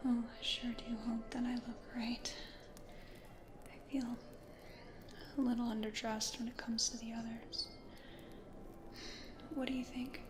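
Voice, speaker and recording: Slow whispering American ghost woman, acted by a woman. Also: fairly high pitch, flat delivery, lightly acted. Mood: nervous, apologetic. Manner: soft, hesitant, breathy murmuring. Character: gentle, anxious plant-loving woman ghost from the 1800s. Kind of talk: whispered ASMR roleplay. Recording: roomy echo, audible background noise.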